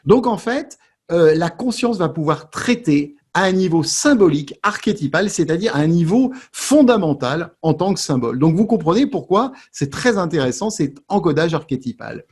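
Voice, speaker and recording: A man delivers an explanatory talk in a distinctly high voice with a husky edge. He teaches in a didactic way, setting out questions and then answering them for his audience. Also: animated, moderate pace. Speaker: presenter giving an online talk.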